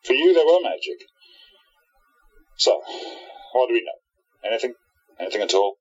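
A man's voice: mid-range voice, very animated, moderate pace, a little mellow, husky, highs cut off. A man playing an intense, embittered hero in a radio drama, earnest and emphatic in his delivery. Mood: probing, impatient. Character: intense wronged hero, bitter enemy of the villain.

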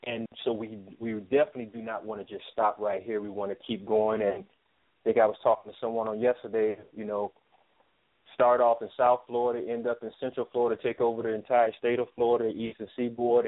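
A man answering interview questions as a theater producer, speaking haltingly and often repeating his words. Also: lowish voice, little pitch movement, dry recording. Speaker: stage play writer and producer. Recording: thin phone-line sound.

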